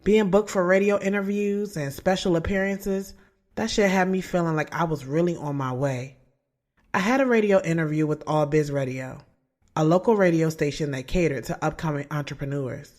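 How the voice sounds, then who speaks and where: A woman's voice: low-pitched, moderate pace, a little mellow, dry recording, clean studio sound, close to an everyday voice. A woman playing a hopeful, candid author of about thirty in an audio drama, speaking in a casual, earnest way. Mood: hopeful, reflective. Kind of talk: first-person audio drama narration and radio interview dialogue.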